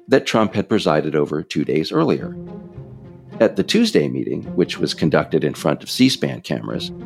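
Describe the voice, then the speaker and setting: An American man reading scripted political narration in a measured, emphatic, explanatory way. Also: a bass voice, creaky, a little mellow. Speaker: author narrating own political audiobook.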